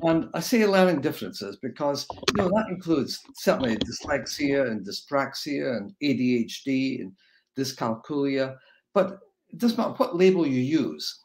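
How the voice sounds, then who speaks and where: A man's voice: mid-range voice, moderate pace, noisy background. Older Scottish man giving a webinar talk. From Scotland. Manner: relaxed, rambling with fillers and restarts. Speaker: guest speaker. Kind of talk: webinar lecture. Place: home room, on a video call. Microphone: video call.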